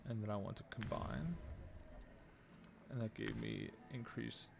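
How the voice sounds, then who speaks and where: A man with a fairly low, heavily fried voice does casual live game commentary. He mutters and thinks aloud, over clear background noise. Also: a little slow. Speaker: game streamer.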